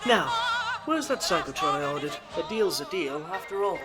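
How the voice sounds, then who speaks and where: A man's voice: a little high, lively intonation, normal speed, over loud music, lightly acted. An actor playing a smug, sadistic male villain in an audio drama, mocking, theatrical and manipulative. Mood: sly, gleeful.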